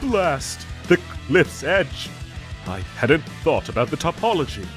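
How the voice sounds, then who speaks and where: A man's voice: fairly high pitch, big pitch swings, measured pace, over music. A man playing a gallant, theatrical knight with a playful streak and formal, archaic phrasing in a fantasy audio roleplay. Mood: urgent, excited.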